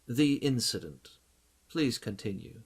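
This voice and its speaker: A man voicing a dutiful, concerned butler in an audiobook, speaking in a formal, measured and politely reserved way. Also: medium pitch, very animated, breathy edge, pitched above the performer's own voice, heavily acted. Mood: guarded. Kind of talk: audiobook character acting.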